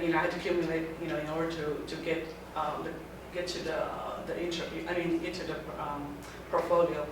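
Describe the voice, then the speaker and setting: Halting woman speaker in a very echoey room. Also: fairly low pitch. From Taiwan. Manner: halting, often restarting phrases. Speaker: guest speaker. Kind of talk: informal talk to a student group. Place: lecture room. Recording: very echoey room, mic far off, background noise.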